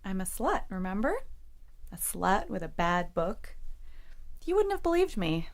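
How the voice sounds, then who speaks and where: A woman's voice: mid-range voice, big pitch swings, measured pace. A young woman acting in an audio drama as a defiant, lovestruck eighteen-year-old college girl. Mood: guarded, intimate.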